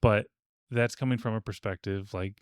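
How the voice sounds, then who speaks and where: A man co-hosting a casual podcast discussion, explaining things in a measured way. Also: on the low side, steady tone, clear, resonant tone, dry recording, studio quality. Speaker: podcast co-host. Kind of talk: casual panel discussion of old radio serials.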